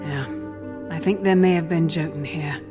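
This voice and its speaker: No-nonsense female fantasy game hero acted by a woman, lowish voice, sober and terse, music underneath. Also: fairly even intonation, moderate pace, big-room sound, natural voice. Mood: investigative. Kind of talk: scripted video game dialogue.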